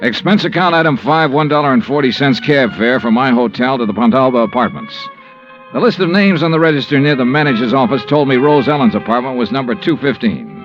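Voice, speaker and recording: Actor as a wry insurance investigator, lively dry delivery, old radio mystery, muffled lo-fi sound. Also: male voice, mid-range voice, a little fast, over music, close to an everyday voice. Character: wry insurance investigator narrating his case. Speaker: lead and narrator. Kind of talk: 1950s radio drama acting and narration. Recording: dull lo-fi old radio recording.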